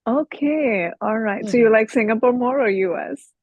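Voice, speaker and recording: A woman's voice: a little high, moderate pace, no echo, phone-quality sound. A woman hosting an online interview show, greeting her guest and asking questions with frequent affirming hums. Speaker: talk show host.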